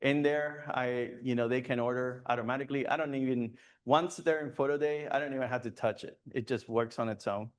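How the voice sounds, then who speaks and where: Smooth-voiced man giving a casual talk. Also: mid-range voice, steady tone, a little fast, hardly any pauses. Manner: loose, conversational, many fillers and restarts. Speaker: guest speaker at a photography club. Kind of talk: presentation with audience questions. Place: meeting room.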